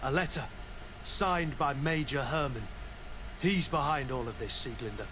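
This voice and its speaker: An actor playing a loyal mercenary soldier bent on justice in a video game, grave and plain-spoken. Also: male voice, medium pitch, unhurried, background noise, lightly acted. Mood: grim.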